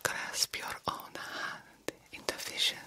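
A woman playing a vampire doctor in an ASMR roleplay, guiding a young fledgling with formal, deliberate, hypnotic instructions. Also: sharp tone. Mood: intent, encouraging. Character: stern yet encouraging female vampire doctor mentoring a fledgling.

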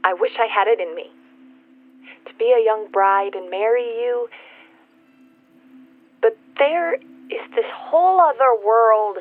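An actress playing a torn young small-town woman with big dreams, in a heartfelt, halting radio play monologue. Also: a little high. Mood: torn, yearning. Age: young adult. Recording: muffled audio with faint music. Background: faint music.

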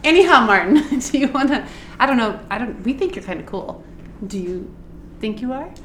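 A woman's voice: on the high side, expressive, normal speed. A woman co-hosting a casual podcast, bubbly and giggly, often joking and cutting in. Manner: giggly, bubbly, jokey interruptions. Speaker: podcast co-host. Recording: roomy with faint noise.

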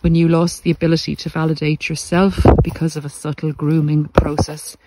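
A man giving a reflective, earnest monologue to listeners, speaking deliberately in short phrases with pauses. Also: a little high, level tone, very noisy. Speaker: podcast host and narcissistic abuse coach. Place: outdoors in the countryside, walking. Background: country sounds.

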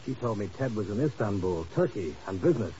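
An actor playing a determined, defiant secret agent in a 1950s radio drama. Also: male voice, on the low side, animated, fast talker, warm tone, natural voice. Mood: urgent. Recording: dull, hissy 1950s radio recording.

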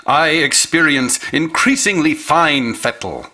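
A man's voice: on the high side, animated, bright, forceful tone, heavily acted. An actor in a radio drama playing an alien mind in a man's body, stiff, emotionless and pedantic, with oddly formal speech. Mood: stiff, blank. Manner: stilted, deliberate, oddly formal.

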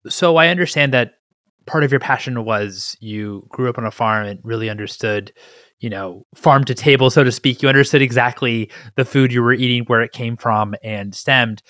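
A man's voice: medium pitch, normal speed, a bit bright, no echo, studio quality. A man hosting a business podcast, who asks friendly questions and sums up his guest's answers. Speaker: podcast host. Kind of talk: interview questions.

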